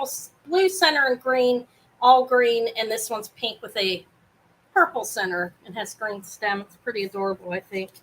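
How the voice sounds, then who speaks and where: Brief: woman, live-sale host, loud carrying voice, rambly and self-interrupting, home setup. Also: on the high side, low-quality recording. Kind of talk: casual live sale chat. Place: home apartment, fan running.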